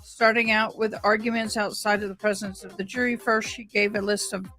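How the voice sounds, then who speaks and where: A woman hosting a casual livestream, talking in a halting, rambling way. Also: medium pitch, level tone, a bit bright, soft background music, low-quality recording. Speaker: livestream host.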